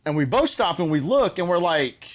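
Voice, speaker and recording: A man hosting a show, telling casual stories and acting out bits of conversation in an animated way. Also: high-pitched, moderate pace. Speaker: show host. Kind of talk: casual group storytelling.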